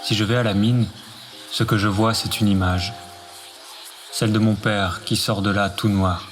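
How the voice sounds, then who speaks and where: A man speaks a scripted, poetic monologue for radio. His voice is on the low side, and quiet music plays underneath. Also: fairly even intonation, moderate pace. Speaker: narrator.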